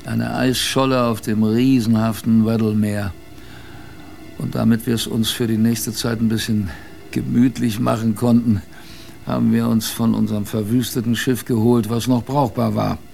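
Actor as a gravelly, wry polar survivor narrating. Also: male voice, medium pitch, flat delivery, moderate pace, warm tone, faint music behind, natural voice. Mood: wry, reminiscing. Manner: wry, colloquial storytelling. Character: plainspoken male polar expedition survivor recalling hardship, wry. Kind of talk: conversational radio drama narration.

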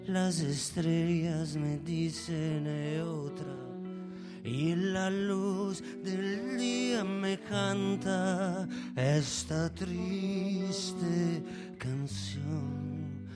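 A woman singing a slow, sad ballad with guitar accompaniment. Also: on the low side. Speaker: singer. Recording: loud guitar music with the voice.

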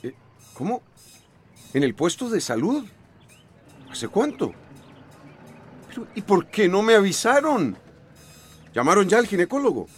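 A man's voice: sits high, very animated, measured pace, pauses often, husky, exaggerated voice. An actor playing an anxious husband about to become a father in a radio soap, stammering and repeating his words. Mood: flustered.

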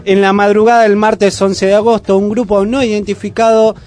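A man's voice: high-pitched, little pitch movement, brisk, a little mellow. A man with an Argentine Rioplatense accent reading scripted news as a radio presenter. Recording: music playing under the voice. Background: music bed.

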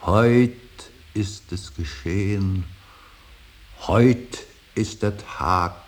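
An actor playing an older, grieving father in a radio drama, brooding and solemn, with halting, weighty declamation that often sinks into breathy whispers. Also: fairly low pitch. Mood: fervent, exalted. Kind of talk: German radio drama acting, solemn monologue. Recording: hissy, faintly noisy radio recording.

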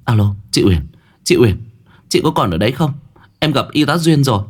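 Man as young woman, earnest, emotional, halting, story dialogue, lively pitch swings. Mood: tearful, urgent. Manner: halting, repeats words when overwhelmed.

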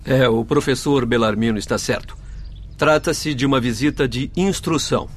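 A man plays an authoritative, kindly male doctor of the spirit world. His Brazilian voice sits at a middle pitch, formal and explanatory, in radio drama style. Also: moderate pace, slight background noise, close to an everyday voice. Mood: explaining.

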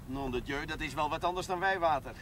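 An actor playing a hearty, sporty village priest, jovial and quick to joke, in a 1970s TV drama. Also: male voice, fairly high pitch, a bit bright, a little hiss or hum, natural voice. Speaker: parish priest.

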